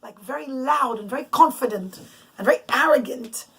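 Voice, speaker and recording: A woman telling a bedtime story to children off the cuff, in a halting way with emphatic repetition. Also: sits high, background noise. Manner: halting, restarts and loses the thread, emphatic repetition.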